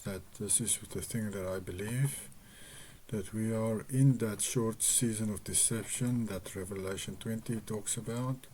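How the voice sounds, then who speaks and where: Afrikaans-speaking guy, slow and earnest, kinda mellow voice, lots of restarts. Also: fairly low pitch, slight background noise. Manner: earnest, measured, with hesitations and restarts. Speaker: religious video host. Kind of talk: slow personal teaching monologue.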